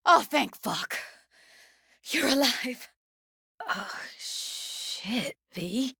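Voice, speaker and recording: A woman's voice: a little high, fairly bright tone, breathy edge, no echo, clean studio sound, exaggerated voice. An actress playing a tough but tender young techie woman in a video game, speaking in a casual, clipped, slangy way. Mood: worried, urgent. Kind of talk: naturalistic video game dialogue.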